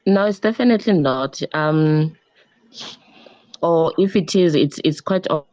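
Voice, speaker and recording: A man reflecting casually in a group discussion, halting and repeating words as he thinks. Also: fairly high pitch, darkish tone, no echo, dull audio. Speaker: book club participant.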